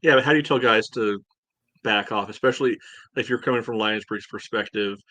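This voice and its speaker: A man's voice: lowish voice, a little fast, sharp tone, dry recording. A man co-hosting a soccer podcast, chatting through analysis in a loose, conversational way. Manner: conversational, peppered with "you know". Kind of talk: casual sports analysis chat.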